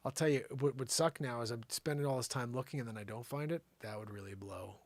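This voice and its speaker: A man's voice: medium pitch, quick pace, dry recording. A man hosting a live show with casual, slangy, rambling comedic commentary, often thinking aloud. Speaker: live variety show host.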